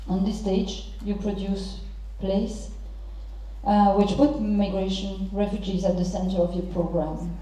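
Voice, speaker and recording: A middle-aged woman moderating a panel, introducing guests and posing questions formally in halting, deliberate phrases. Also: mid-range voice, mellow. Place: large theatre stage. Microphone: handheld microphone through hall speakers. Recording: very echoey theatre PA, distant, muffled.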